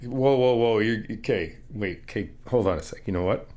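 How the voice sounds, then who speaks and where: A man's voice: lowish voice, normal speed, a little mellow. A young man in an ASMR roleplay, talking as a friend helping paint a new apartment, easygoing and reassuring, teasing and laughing often. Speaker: ASMR roleplay creator playing a supportive friend.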